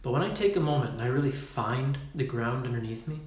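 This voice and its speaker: A man's voice: mid-range voice, lively intonation, a little fast, echoey room. A man leading a Facebook Live talk as a workshop leader, emphatic and casual, swearing now and then and acting out postures as he explains.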